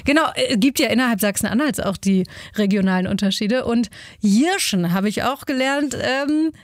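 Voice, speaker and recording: Quick, cheerful female radio co-host. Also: fairly high pitch, animated, no room sound, studio quality. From Lower Saxony, near Bremen. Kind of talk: lively radio chat.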